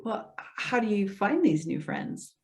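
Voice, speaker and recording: A woman playing a patient, gently skeptical social worker in readers theater, measured, encouraging and tentative. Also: medium pitch, big pitch swings. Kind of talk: readers theater stage acting.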